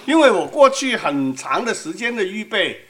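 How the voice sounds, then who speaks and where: A woman's voice: mid-range voice, very animated, moderate pace. A woman from Hong Kong with a Cantonese accent, preaching in a conversational way and putting questions to her audience. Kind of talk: sermon with live interpretation.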